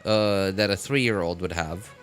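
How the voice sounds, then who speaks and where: A man in his thirties chatting casually on a stream, telling a story in a halting, repetitive way. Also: sits low, fairly bright tone. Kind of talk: casual rambling livestream chat. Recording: game music and dialogue behind the voice. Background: video game music and character voices.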